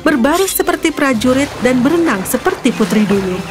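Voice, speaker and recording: A woman's voice: high voice, sing-song, normal speed. A woman reading a scripted children's fairy tale as the storyteller, with music behind her. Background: background music.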